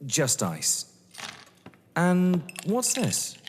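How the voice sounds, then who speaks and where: An actor playing a reserved, polite young guest in an audio drama, hesitant and terse. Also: male voice, sits high, huge pitch swings, very slow, slight background noise, exaggerated voice. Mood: curious, guarded. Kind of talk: stage thriller audio drama acting. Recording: long reverberant hall echo.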